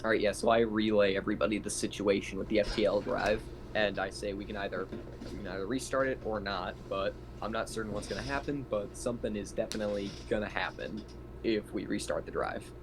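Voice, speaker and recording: A man's voice: lowish voice, fairly quick, background noise. A man chatting casually as a player in a tabletop roleplaying game, describing what his character does.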